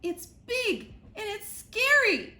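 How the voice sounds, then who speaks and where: Woman reading as a shrill, breathless, panicky squirrel; loud, bright, storybook. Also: very animated, takes it slowly, roomy sound, full character voice. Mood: panicked. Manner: high shrill shouting, breathless. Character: panicked, boastful male squirrel.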